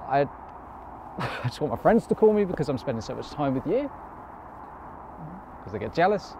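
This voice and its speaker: A man acting a nervous, awkward lover in a naturalistic modern stage monologue, halting, hesitant and earnest. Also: on the high side, sing-song. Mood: tender, yearning. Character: nervous, awkward, lovestruck man confessing his love. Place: outdoors, park bench. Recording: noisy outdoor recording with passing vehicles.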